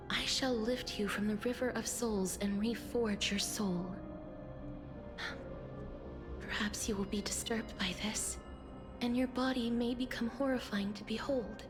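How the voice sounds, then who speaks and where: An actress voices a gentle young woman of a fantasy game, solemn and tender. Her voice is fairly high, soft and breathy, with measured, formal phrasing. Clear music plays underneath. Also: steady tone, lightly acted. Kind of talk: video game story dialogue.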